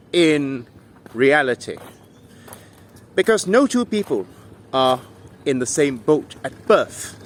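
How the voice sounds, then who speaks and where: A man delivering an argumentative monologue to viewers in an emphatic, deliberate way, with rhetorical questions. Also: on the high side, a little hiss or hum. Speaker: video essayist and English tutor.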